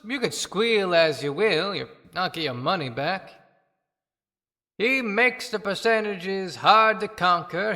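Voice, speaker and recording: A woman plays a hard-bitten lawyer and gambler in an audio drama. The voice is forceful and scornful, lecturing with emphasis. It rings through a long hall reverb. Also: on the low side, full character voice. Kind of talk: dramatic monologue in an audio play.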